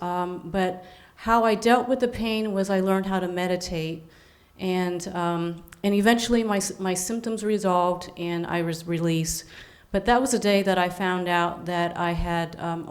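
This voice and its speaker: A middle-aged woman from San Francisco giving an earnest personal testimony at a conference, with frequent fillers and restarts. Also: lowish voice. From San Francisco, USA. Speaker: conference speaker.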